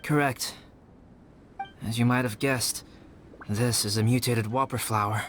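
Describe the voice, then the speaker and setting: A man playing a calm, scholarly young alchemist in a video game, speaking formally and with measured care, with music behind him. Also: medium pitch, slight background noise, close to an everyday voice. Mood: composed, intrigued.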